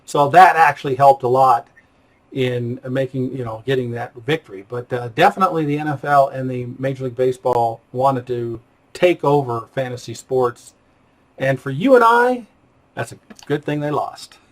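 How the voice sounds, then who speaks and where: A man's voice: medium pitch, moderate pace. A man hosting an interview in a casual, conversational way, thinking aloud as he explains. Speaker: interviewer, host.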